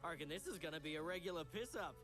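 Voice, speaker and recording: A man's voice: a little high, lively intonation, very fast, a bit bright, faint music behind. A man playing a brash, cheeky young student who banters in heavy slang. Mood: boisterous.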